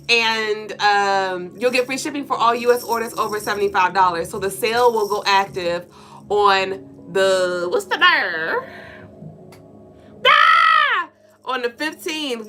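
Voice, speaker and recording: A congested woman with a nasal, stuffed-up voice chats on her livestream, lively and playful, cursing freely as she greets viewers. Her voice sits a little high and sounds bright and sharp. Soft music plays faintly behind her. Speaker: livestream co-host. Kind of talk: casual livestream chat with viewers.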